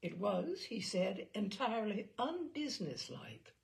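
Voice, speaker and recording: A woman reading a memoir aloud as a narrator, in a wry and expressive storytelling manner. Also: medium pitch, measured pace, vocal fry. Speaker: reader. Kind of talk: audiobook narration.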